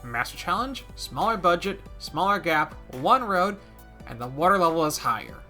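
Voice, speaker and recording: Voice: mid-range voice, big pitch swings, normal speed, sharp tone, soft background music. A video game commentator narrating a scripted gaming video with wry, playful asides.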